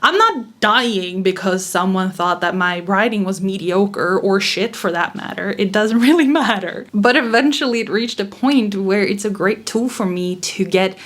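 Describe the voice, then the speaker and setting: A young woman with a Swedish accent talks straight to camera in a relaxed vlog about writing. She is candid and chatty, laughs easily and swears casually. Her voice sits at an ordinary middle pitch for a woman. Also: measured pace, hardly any pauses. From Sweden. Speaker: writing vlogger.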